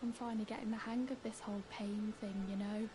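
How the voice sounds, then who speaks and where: An actress playing a timid, mournful young woman in a video game, with a halting, wistful, hushed delivery. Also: medium pitch, fairly even intonation, noisy background, close to an everyday voice. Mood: gentle, fond. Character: timid, mournful young woman, self-confessed craven, darkly whimsical. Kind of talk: video game character dialogue.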